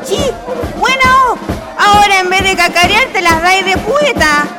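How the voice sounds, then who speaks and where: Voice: extremely high pitch, animated, measured pace. An actor with a Chilean accent playing a brash, mocking heckler in a radio play crowd. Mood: impatient, mocking. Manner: shouted, brash colloquial heckling. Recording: loud music and crowd, distant.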